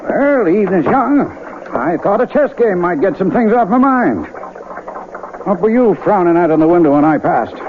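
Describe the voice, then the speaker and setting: A man's voice: high-pitched, big pitch swings, normal speed. A man acting a weary, ageing doctor in a radio drama, folksy and ruminative. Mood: wry, weary. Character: weary, troubled male small-town doctor, getting older. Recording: tinny, noisy vintage radio broadcast.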